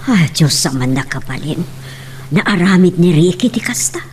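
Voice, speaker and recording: Babai a mangiyakting iti nababbaba timekna, madanagan a kabagian. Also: female voice, fairly low pitch, animated, normal speed, slight background noise, natural voice. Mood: shocked. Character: concerned relative, shocked by family news. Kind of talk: melodramatic Ilocano audio drama.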